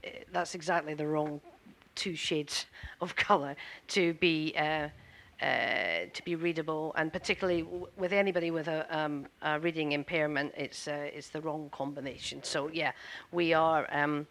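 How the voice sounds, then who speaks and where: Man chairing a meeting, halting. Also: fairly high pitch, fairly even intonation, a bit bright. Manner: halting, trailing off. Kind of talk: meeting discussion.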